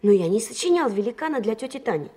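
A woman's voice: a little high, very animated, quick pace, warm tone, breathy edge. An actress playing an eager, stubborn nine-year-old boy in a radio play, explaining things insistently. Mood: earnest, stubborn. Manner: insistent, explaining, directing playmates. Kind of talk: 1970s Soviet radio play acting.

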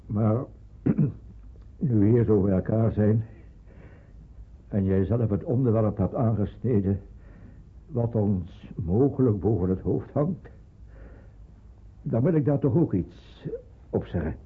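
Slow, husky, grave father. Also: fairly low pitch. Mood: grave, tender. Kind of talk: radio drama acting. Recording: muffled, phone-like old radio play recording.

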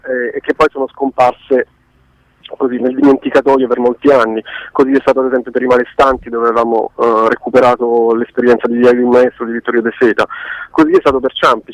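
A man's voice: mid-range voice, no echo, low-quality recording. A man giving an informal interview, explaining reflectively and often circling back to rephrase. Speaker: interviewed documentary co-director.

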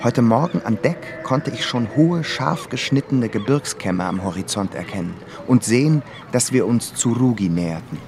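An actor in a radio drama playing a wry, reflective émigré composer narrating a travel diary. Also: male voice, mid-range voice, lively intonation, moderate pace, few pauses, noisy background, natural voice. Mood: dreamy, elated. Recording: prominent music behind the voice.

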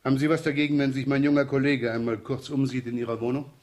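An actor playing a wry, easygoing, rumpled police inspector with a teasing, casual way of talking in a German radio crime drama. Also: male voice, medium pitch, flat delivery, quick pace, darkish tone, close to an everyday voice. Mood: wry, probing.

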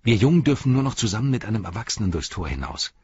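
A man reading a storybook voices a brash, eager young boy in a raised, husky voice. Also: fairly low pitch, no echo, dull audio, voice pushed up, heavily acted. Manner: brash, excited, fast.

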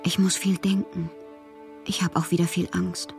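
An actress playing a passionate, eccentric bohemian poetess, reading fanciful letters in a theatrical radio drama monologue with a breathy voice. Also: female voice, lowish voice, flat delivery, moderate pace, soft background music. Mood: anxious, haunted. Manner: theatrical, dreamy, often slipping into breathy whispers. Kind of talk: dramatic literary monologue in a German radio play.

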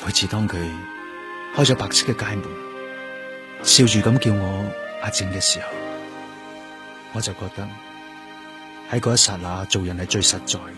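A man's voice: lowish voice, fairly even intonation, normal speed, pauses often, natural voice. Lonely Cantonese-speaking detective played by a man, music behind. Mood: wistful, subdued. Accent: Hong Kong Cantonese. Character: lonely, grieving male police detective, gentle and wistful. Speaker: actor. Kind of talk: radio drama, narrated inner monologue and dialogue. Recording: music under the voice. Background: background music.

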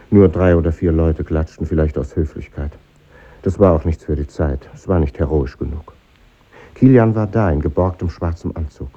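A man's voice: low-pitched, mellow, slightly rough. An actor playing a reflective middle-aged man, narrating his memories in a 1950s radio drama. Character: reflective middle-aged male composer recalling his schoolmates. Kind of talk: scripted first-person radio drama narration. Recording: muffled old radio recording.

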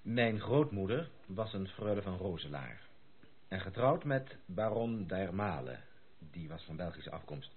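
An actor playing a polite, self-assured young gentleman in a Dutch radio play, formal, composed and gently insistent. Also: low voice, very animated, measured pace, breathy edge, heavily acted. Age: young adult. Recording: tinny, noisy old radio play recording.

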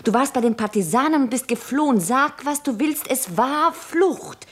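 An actress playing a clear-sighted, devoted woman who reasons insistently in a German radio play. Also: sits high, lively intonation, moderate pace. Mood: urgent.

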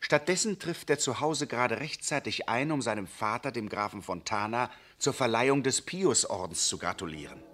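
A man's voice: mid-range voice, lively intonation, normal speed, few pauses, a bit bright. A man giving scripted narration in a 1960s radio drama, in a dry, ironic tone.

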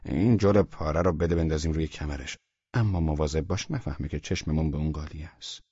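A scheming American traveler plotting in a low, hushed, husky voice, as a male storyteller puts him on. The sound is dry and somewhat dull and lo-fi. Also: no room sound. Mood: conspiratorial.

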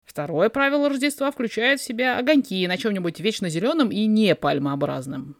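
A narrator reading a fiction story aloud in an expressive, dramatized way. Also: medium pitch, a little fast, no room sound, clean studio sound. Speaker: podfic reader, narrator.

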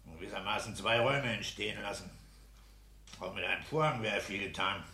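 A low, gravelly man's voice with a forceful edge. He is a boastful petty-bourgeois husband and official, self-satisfied and fond of giving orders, in a 1960s radio drama. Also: brisk. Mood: brisk, businesslike. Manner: blustering, commanding, self-satisfied. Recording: hissy 1960s radio play recording.

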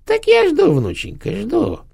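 A man's voice: a little high, very animated, normal speed, gravelly, slightly rough, pitched above the performer's own voice, heavily acted. A man voicing a dead, rotting old witch grandmother who sneers and scolds her granddaughter in a cracked, rattling voice. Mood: sly. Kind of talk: audiobook narration.

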